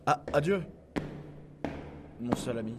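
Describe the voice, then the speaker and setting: A man playing a hopeless, morose clone henchman in a French comedy audio serial. He speaks softly and flatly, dropping low at the ends, with total deadpan resignation. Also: slow pace, recorded from a distance, music in the background. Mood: resigned. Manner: quiet, flat, resigned. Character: morose, death-obsessed minion clone with no hope.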